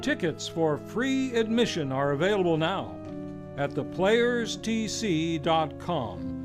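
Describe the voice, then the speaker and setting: A man's voice: on the high side, sing-song, slow pace. A man reading a scripted radio promo as the announcer. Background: plucked guitar music.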